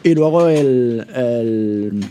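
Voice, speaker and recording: A man with a Spanish accent from Spain hosting a casual live radio discussion, halting and repeating words as he searches. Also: medium pitch, lively intonation, warm tone. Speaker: radio show host.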